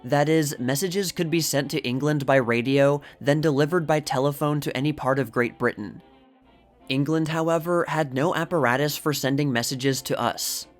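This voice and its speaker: A man's voice: fairly high pitch, normal speed. A man narrating from a script, reading a magazine article aloud. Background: music.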